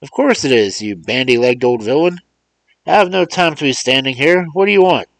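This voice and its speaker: A man voicing a brusque, impatient gentleman calling out over a telephone. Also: medium pitch, lively intonation, brisk, no echo, pitched above the performer's own voice, natural voice. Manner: curt, scolding. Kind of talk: audiobook character voice.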